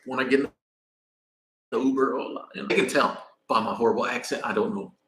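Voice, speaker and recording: An American man rambling casually on a live stream while traveling in Mexico, pausing to thank viewers by name. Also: medium pitch, fairly bright tone, reverberant, a little hiss or hum. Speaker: vlogger.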